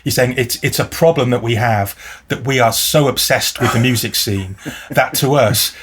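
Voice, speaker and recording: Husky man, wry and thoughtful. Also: mid-range voice, moderate pace, fairly bright tone. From South Wales. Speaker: guest music journalist. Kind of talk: casual podcast conversation.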